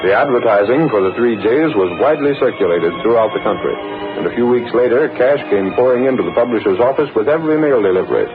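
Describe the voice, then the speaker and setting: An actor working as the formal, dramatic narrator of an old-time radio crime serial. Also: male voice, medium pitch, animated, quick pace, lightly acted. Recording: tinny old radio sound with music.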